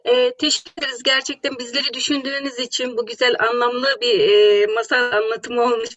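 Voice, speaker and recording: A woman guest speaking in short, halting remarks on an internet call. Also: on the high side, flat delivery, fairly bright tone, no room sound. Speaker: hospital administrator, guest. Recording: dull, dry internet call audio.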